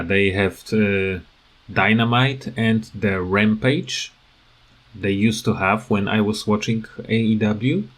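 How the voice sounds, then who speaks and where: Slow, halting man in a casual rant. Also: on the low side. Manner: halting, hesitant, repeats words. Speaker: video creator giving commentary.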